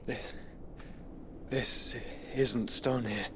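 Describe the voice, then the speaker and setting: Earnest, unhurried young companion. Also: male voice, mid-range voice, fairly even intonation, background noise. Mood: wary, questioning. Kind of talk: scripted video game drama.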